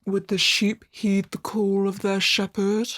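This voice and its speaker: Mysterious female collector, played by an actress: slow, musing, theatrical narration, close dry sound. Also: medium pitch, little pitch movement, a bit bright, no room sound. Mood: brooding, resolute. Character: brooding, secretive female collector musing on stories. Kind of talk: scripted dramatic monologue.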